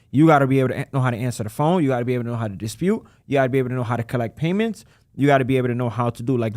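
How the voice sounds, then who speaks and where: A man who runs a credit repair business, talking casually in an interview and acting out his callers in quick voices. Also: medium pitch, darkish tone, no echo, clean studio sound. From United States.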